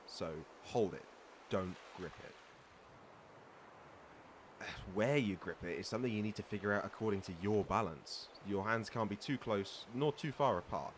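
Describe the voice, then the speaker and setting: Man acting as a deep-voiced boastful pirate. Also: slight background noise, natural voice. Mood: mentoring, calm. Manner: bragging, teasing, theatrical storyteller with hesitant asides. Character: boastful, good-humored veteran pirate sea-beast hunter, male. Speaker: voice actor reading a script. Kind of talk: roleplay audio drama, storytelling to a listener.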